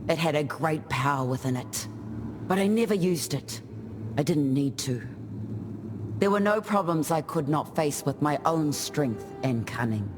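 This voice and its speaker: A man voicing an easygoing island warrior in a video game, speaking in a measured, reflective and lyrical way. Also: a little high, animated, over music. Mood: reverent. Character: easygoing, graceful island warrior spirit who dances through battle. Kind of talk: scripted game character monologue.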